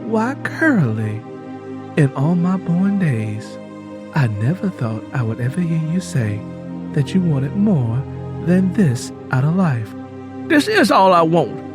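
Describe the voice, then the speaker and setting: Guy doing a plain folksy farm wife, voice lifted higher, audio drama, music behind. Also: very animated, takes it slowly. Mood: surprised, resigned. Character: plain-spoken, contented sharecropper wife and mother.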